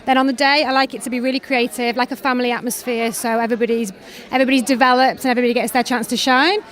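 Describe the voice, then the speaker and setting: A woman with a Welsh accent, a creative director, answering interview questions backstage at a fashion show in a brisk, confident and articulate way. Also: fairly high pitch, steady tone, a bit bright. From Wales.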